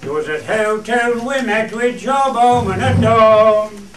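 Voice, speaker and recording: Man acting, jovial rustic farmer, Cumbrian accent, high male voice, echoey room, radio drama. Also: slow. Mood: hearty. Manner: hearty, rustic dialect speech. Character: jovial Cumbrian fell farmer, singer at shepherds' gathering. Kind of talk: radio play dialect acting with hunting song. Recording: roomy, distant stage recording, faint noise.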